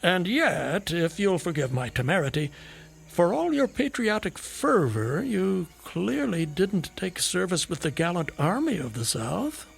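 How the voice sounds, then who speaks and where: An actor playing a slyly probing, florid and elaborately polite traveling companion in a radio drama, with a raspy, creaky voice. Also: male voice, sits high, big pitch swings, unhurried, full character voice.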